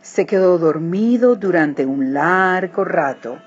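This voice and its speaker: A woman narrating a children's story expressively, with deliberate, dramatic phrasing. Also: fairly low pitch, expressive, husky. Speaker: storybook reader. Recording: muffled audio with music behind.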